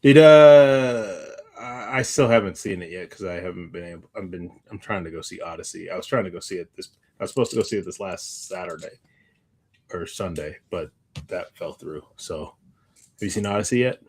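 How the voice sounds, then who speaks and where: Low-voiced man chatting casually, halting delivery. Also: darkish tone, breathy edge. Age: adult, parent of young child. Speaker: podcast co-host. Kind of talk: casual chat.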